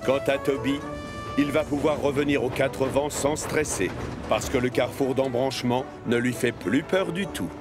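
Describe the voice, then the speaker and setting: A man's voice: medium pitch, moderate pace, over music. A man narrating a scripted children's cartoon story.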